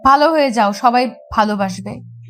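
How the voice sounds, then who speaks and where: Woman as young college girl, Bangladeshi accent, high voice, affectionate and chiding, audio romance, faint music. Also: normal speed, lightly acted. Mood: tender concern. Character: caring young college girl, scolding yet tender. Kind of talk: scripted audio love-story drama.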